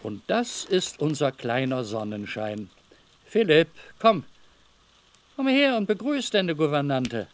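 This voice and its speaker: A man's voice: a little high, sing-song, slow pace. A man playing an evasive, overly cordial middle-aged master of a house in a German radio drama, wordy, hesitant and patronizing. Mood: jovial, fussy.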